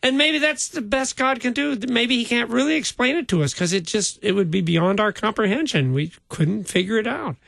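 A man's voice: extremely high pitch, normal speed, gravelly, hardly any pauses, a bit bright, no room sound. An older man, a pastor, chatting casually about a Bible passage and chuckling often.

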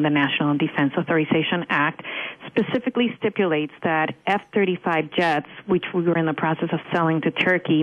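Low-voiced Cuban-accented woman on phone. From Cuban American. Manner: earnest, many uh fillers. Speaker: religious freedom advocate guest. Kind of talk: interview answers. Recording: tinny phone line.

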